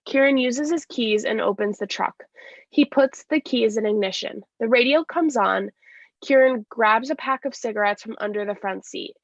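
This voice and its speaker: Creaky-voiced woman reading stage directions. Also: fairly high pitch, no echo. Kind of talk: scripted narration. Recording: dry, slightly dull lo-fi sound.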